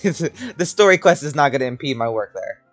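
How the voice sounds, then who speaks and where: A woman's voice: deep voice, very animated, moderate pace, faint music behind. A woman streaming a game with casual, amused, informal reaction commentary.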